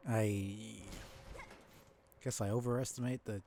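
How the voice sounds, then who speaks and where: A man's voice: fairly low pitch, fairly even intonation, measured pace, dark tone, slight background noise. A young man streaming a game live, thinking aloud in casual muttered commentary with small self-deprecating asides. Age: young adult.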